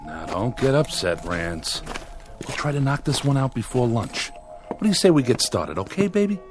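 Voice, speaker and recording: A man acts out a harried film director on a western set in a radio play. He sounds exasperated but coaxing, with an animated, lively pitch. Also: moderate pace, music in the background, close to an everyday voice.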